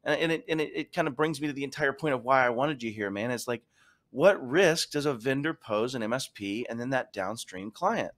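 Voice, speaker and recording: A man's voice: medium pitch, expressive, brisk, fairly bright tone. A man hosting a casual chat, talking in an enthusiastic, rambling way. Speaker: podcast host.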